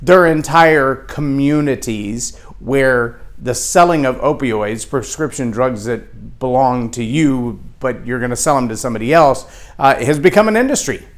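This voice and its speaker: Texan male leadership speaker, mid pitch, animated, does quoted voices. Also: moderate pace. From Texas. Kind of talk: motivational talk to camera.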